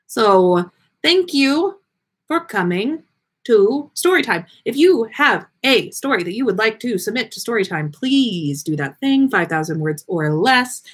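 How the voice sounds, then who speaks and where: A woman hosting a story livestream, reading aloud and chatting in an expressive, effusive way. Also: medium pitch, breathy edge. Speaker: podcast host reading listener stories.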